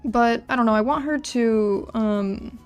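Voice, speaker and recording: A woman's voice: fairly high pitch, a little slow, smooth, soft background music. A woman talking informally on a livestream while she draws, rambling and often repeating words as she thinks aloud and answers chat. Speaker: livestreamer drawing and designing game characters. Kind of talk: casual livestream chat while drawing, reading out chat messages.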